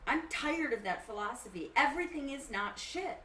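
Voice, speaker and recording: A woman guesting on a casual podcast chat, an animated storyteller who slips into quoted voices. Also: sits high, moderate pace, far from the mic, a little hiss or hum. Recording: echoey room, voice off-mic.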